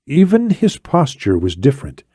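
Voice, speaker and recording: Animated male thriller audiobook narrator, dry sound. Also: medium pitch, normal speed, breathy edge, no room sound. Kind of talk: dramatic scripted narration of a thriller.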